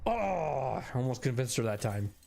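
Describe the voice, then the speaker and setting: A man's voice: medium pitch, sing-song, a little slow, gravelly, a little mellow, slight background noise. A young man streaming a video game, chatting casually into a desk mic with a rambling, filler-heavy way of talking. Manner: rambling, lots of filler words. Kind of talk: casual gameplay commentary.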